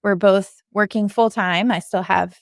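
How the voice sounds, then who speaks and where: A woman's voice: medium pitch, fairly even intonation, measured pace, no room sound, clean studio sound. A woman, a podcast co-host, giving a conversational technical explanation as a guest.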